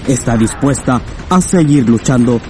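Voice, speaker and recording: An actor playing a loyal, deferential general in a radio drama, speaking formally and earnestly. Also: male voice, medium pitch, lively intonation, moderate pace, dark tone, slight background noise, close to an everyday voice. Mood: impassioned, pleading. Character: General Monke, loyal devoted military officer addressing his leader.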